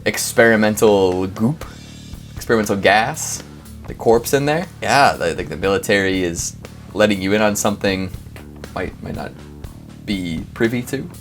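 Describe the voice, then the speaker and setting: Low male voice, wry rambling host, casual comedic reactions, animated, music behind. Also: normal speed. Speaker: host reacting to scary clips.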